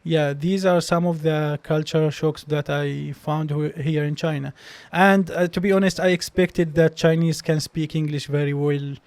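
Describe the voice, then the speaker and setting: A man's voice: on the high side, fairly even intonation, moderate pace, hardly any pauses, a little mellow, no echo. A young man with a Palestinian accent whose first language is Arabic, a doctor telling stories as a podcast guest, hesitant with many restarts. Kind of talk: casual interview storytelling.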